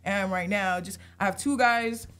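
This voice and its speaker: A woman's voice: mid-range voice, normal speed. A woman from Hawaii, a guest on a podcast, chatting casually and rambling with lots of fillers. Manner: relaxed, rambling, lots of "like" and "you know".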